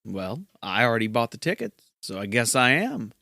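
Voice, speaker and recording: A man playing a dry, gently chiding boyfriend in an audio drama. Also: medium pitch, big pitch swings, normal speed, creaky, no room sound, exaggerated voice. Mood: teasing, patient. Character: patient, teasing boyfriend of a sulking hero.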